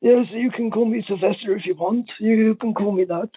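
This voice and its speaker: A man phones in to a comedy talk show, his voice high and husky. He stammers, repeats himself and keeps circling back to the same point. The sound comes through a thin, tinny phone line. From Spain. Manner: halting, repetitive, stammering. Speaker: caller. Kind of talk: rambling comedic call-in.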